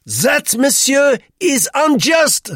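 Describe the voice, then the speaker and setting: A man's voice: very high voice, sing-song, slow pace, a bit bright, no room sound, studio quality, pitched above the performer's own voice, exaggerated voice. A man voicing a pompous, indignant old French businessman in an audiobook, stern and declamatory.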